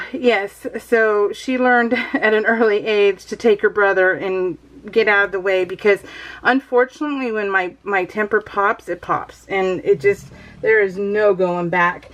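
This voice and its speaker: A woman's voice: mid-range voice, fairly even intonation, measured pace, a bit bright, rough recording. A middle-aged American woman chatting casually as a vlogger, telling personal stories in a rambling, emphatic way with exasperated asides.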